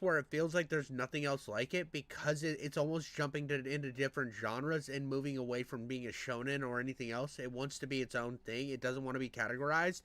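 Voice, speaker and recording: A man with a high-pitched nasal voice, reacting to a video in crude, excitable casual commentary, often stammering and restarting. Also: no echo. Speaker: reaction streamer. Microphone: on camera, desk mic.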